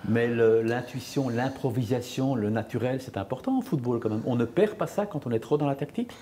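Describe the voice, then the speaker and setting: Mellow-voiced male sports interviewer. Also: medium pitch, animated, fairly quick, a little hiss or hum. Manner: asks short, direct, probing questions.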